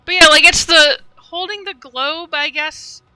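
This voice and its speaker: A woman's voice: extremely high pitch, unhurried, pushed and bright, dry recording. A woman doing casual livestream game commentary, rambling and thinking aloud in a tentative way. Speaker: game streamer. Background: game music.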